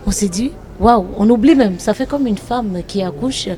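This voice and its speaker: A woman actress answering interview questions in a reflective, hesitant way with many pauses. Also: fairly high pitch, dark tone. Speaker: actress and comedian.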